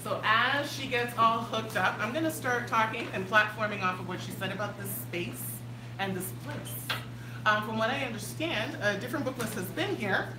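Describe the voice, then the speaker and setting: A woman co-hosting a live event, loud and chatty as she introduces what comes next. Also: fairly high pitch, normal speed. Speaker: event co-host. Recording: echoey room with background noise.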